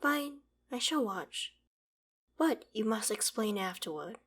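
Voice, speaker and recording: A woman playing an ancient female dragon in an ASMR roleplay, proud and curious, speaking in a measured, formal, archaic way. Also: a little high, lively intonation, fairly bright tone, husky, heavily acted. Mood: baffled, awed. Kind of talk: ASMR roleplay audio drama, addressing the listener.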